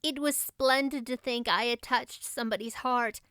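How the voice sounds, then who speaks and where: A dreamy, romantic young girl played by a woman narrator in a storybook reading. Her voice is high and clear, gushing with breathless drama, and it can turn lofty. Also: normal speed, fairly bright tone, no echo, clean studio sound, close to an everyday voice. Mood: excited, gushing. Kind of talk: audiobook character voice.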